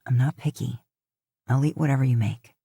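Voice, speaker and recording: A woman voicing a teasing, stubborn young cowboy husband in a low, soft, coaxing voice. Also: fairly even intonation, brisk, creaky, breathy edge, dry recording, clean studio sound, full character voice. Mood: reassuring.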